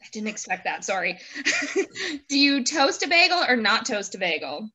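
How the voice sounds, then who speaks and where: A woman hosting a casual interview over a video call, chatting playfully and quick to laugh. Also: a little high, very animated, sharp tone, breathy edge. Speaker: host interviewing a guest. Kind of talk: casual chat and quick-fire questions.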